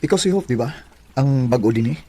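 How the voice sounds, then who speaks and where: An actor playing an earnest, persistent young bachelor in a radio drama, with a Cebuano Filipino accent. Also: male voice, mid-range voice, expressive, fairly quick, mellow, slight background noise, natural voice. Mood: friendly, earnest. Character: earnest, persistent bachelor coworker courting a woman.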